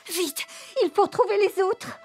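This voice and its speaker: A woman voicing a doting, sensible mother in a French animated cartoon, expressive and affectionate. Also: extremely high pitch, normal speed. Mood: worried. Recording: cartoon music behind.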